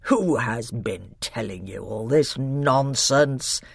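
Brief: woman reader playing a cold, stern king, low and deliberate, dry close sound. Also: big pitch swings, dry recording, heavily acted. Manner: stern, slow and commanding. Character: stern, cold middle-aged male king.

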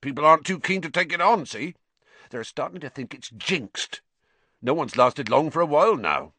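A man voicing a big, gruff, warm-hearted gamekeeper with a growling, blunt way of talking. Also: fairly high pitch, sing-song, normal speed, no room sound, voice pushed up, heavily acted.

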